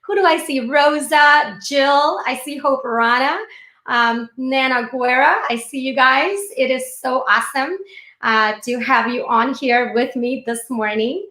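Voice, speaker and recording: Woman, very high voice, chatty and informal livestream speaker, raised in India and later US-based, roomy echoing sound. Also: unhurried, pushed and bright. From born and raised in India, moved to the United States at fifteen. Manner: chatty, addresses viewers directly. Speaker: speaker hosting a live online session. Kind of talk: informal motivational talk to livestream viewers.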